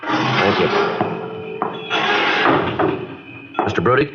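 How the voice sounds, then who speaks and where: An actor playing a terse, businesslike police detective with clipped, deadpan delivery in a 1950s radio drama. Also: male voice, on the low side, a little fast, over loud music, lightly acted. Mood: probing, polite. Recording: muffled, noisy old radio recording.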